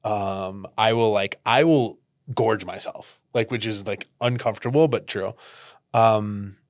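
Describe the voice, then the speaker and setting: A man's voice: fairly low pitch, unhurried, no room sound, clean studio sound. Chatty man, guest on a podcast. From Northeastern United States. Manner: animated, laughs often, lots of "like" fillers. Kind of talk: casual conversation.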